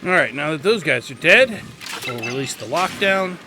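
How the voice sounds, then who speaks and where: A man's voice: on the high side, animated, moderate pace. A man giving tutorial commentary over gameplay in an explanatory, conversational way. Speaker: gaming video host. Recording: faint game noise behind.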